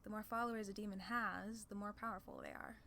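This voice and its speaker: A woman's voice: medium pitch, steady tone, quick pace, creaky, a bit bright, a little hiss or hum. A woman playing a haughty, sly female demon lord in an ASMR roleplay, condescending and playfully theatrical. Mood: imperious.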